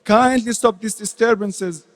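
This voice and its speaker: A man's voice: sits high, steady tone, measured pace. A man playing a humble, distressed demigod in an amateur stage reading, pleading formally with his master. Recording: reverberant hall.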